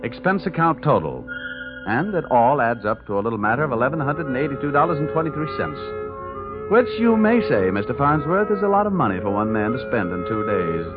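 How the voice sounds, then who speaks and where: A man's voice: mid-range voice, sing-song, fairly quick, close to an everyday voice. An actor playing a wisecracking male insurance investigator who narrates, in 1940s radio drama style, dry and hard-boiled. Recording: muffled old radio broadcast with music.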